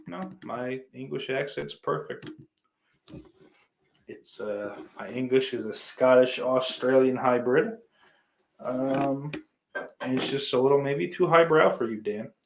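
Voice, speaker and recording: A man's voice: medium pitch, takes it slowly, creaky, long pauses, slight background noise, rough recording. A man hosts a casual podcast in a mid-range voice. He talks off the cuff to his listeners, reading and answering their comments. His language is blunt and full of swearing. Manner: profane, blunt. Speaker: podcast host.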